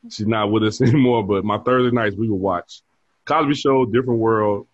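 A man's voice: on the low side, moderate pace, no echo, muffled recording. A man in his forties, a bar manager, chatting casually as an interview guest and telling stories with frequent laughs. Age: 40s. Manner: easygoing storyteller who laughs often.

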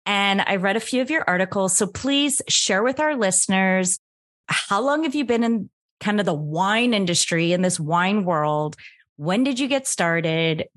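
A woman's voice: mid-range voice, lively intonation, a little slow, fairly bright tone. Gushing woman podcast host. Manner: enthusiastic, gushing, with fillers. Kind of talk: podcast intro and interview.

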